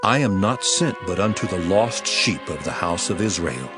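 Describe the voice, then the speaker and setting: A grave holy teacher in a dramatized scripture reading. His low voice moves widely as he declaims with solemn, measured emphasis. Music plays clearly behind him. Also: lively intonation.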